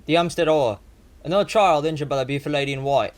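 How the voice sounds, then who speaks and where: A man's voice: a little high, fast talker, natural voice. A man in a radio drama playing an urgent newsman, delivering a clipped bulletin in an old-fashioned style. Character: urgent male newsman reporting attacks on children. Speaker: actor in a school radio drama.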